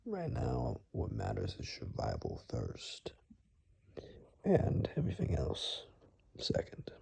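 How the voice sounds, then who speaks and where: A man acting as a gruff, protective woodsman in an ASMR roleplay, with an extremely low, gravelly voice. He murmurs frankly and cautiously, often slipping into a husky, very breathy whisper. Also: wildly swooping pitch, takes it slowly, darkish tone, heavily acted. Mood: practical, reassuring.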